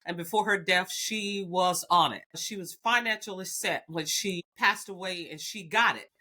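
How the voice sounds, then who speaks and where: A woman's voice: fairly low pitch, normal speed, bright, forceful tone. A middle-aged woman with a Southern Alabama accent, telling her life story earnestly as a podcast guest, with frequent restarts. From Huntsville, Alabama. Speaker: guest, executive. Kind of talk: candid personal storytelling.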